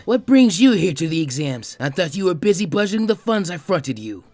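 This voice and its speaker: A man's voice: high-pitched, big pitch swings, a little fast, darkish tone, dry recording, faint music behind, voice pushed up. A man voicing a cowardly, ingratiating crooked businessman for a story reading, nervous and hesitant. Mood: nervous, startled.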